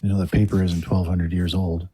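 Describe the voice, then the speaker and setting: A man's voice: low voice, level tone, fairly quick, dark tone, husky. An actor playing a wry, easygoing young male adventurer in an audio drama, speaking casually.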